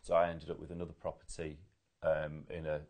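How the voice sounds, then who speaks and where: A man's voice: a bass voice, monotone, fairly quick, darkish tone. A middle-aged man from Leeds with a Yorkshire accent, answering informally and hesitantly in an interview. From Leeds, England. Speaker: interviewee, local father.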